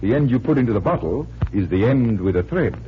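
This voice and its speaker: An actor playing a genial magician detective in a 1940s radio show, patiently explaining tricks. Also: male voice, mid-range voice, lively intonation, a little fast, soft background music, close to an everyday voice. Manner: patient, instructive. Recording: muffled, hissy 1940s radio recording.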